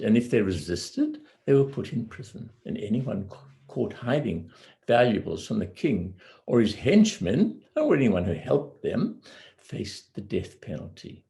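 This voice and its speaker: A man telling a fairy tale in a deliberate manner, pausing between phrases. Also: lowish voice, vocal fry, dark tone. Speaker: amateur storyteller. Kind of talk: measured fairy tale storytelling.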